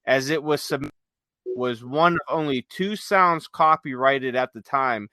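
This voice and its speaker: A man's voice: medium pitch, measured pace, sharp tone, no room sound. A man co-hosting a podcast in casual, enthusiastic, rambling explanations, sometimes reading from notes. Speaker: podcast co-host. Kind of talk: casual chat with some read-aloud notes.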